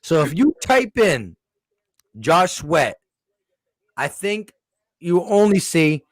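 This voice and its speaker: A man's voice: fairly high pitch, sing-song, takes it slowly, no room sound, clean studio sound. A man hosting a postgame sports talk show, emphatic and insistent, nearly losing his voice. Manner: emphatic, repeats "listen". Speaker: sports podcast host.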